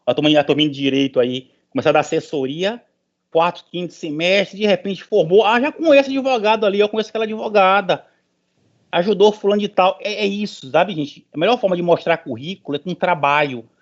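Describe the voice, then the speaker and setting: A man's voice: high-pitched, a little fast. A middle-aged professor, a man addressing an online meeting in an informal, emphatic, motivational way, repeating phrases for stress. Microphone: online meeting call. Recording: dull lo-fi online call audio.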